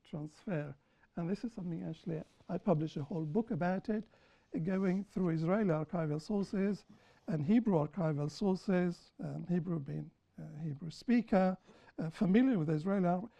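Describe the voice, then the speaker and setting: Mellow-voiced woman lecturing on a panel. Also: medium pitch, husky. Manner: deliberate, lecturing, repeats points for emphasis. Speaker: panel speaker and author. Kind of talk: panel discussion after a film screening. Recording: dull lo-fi recording.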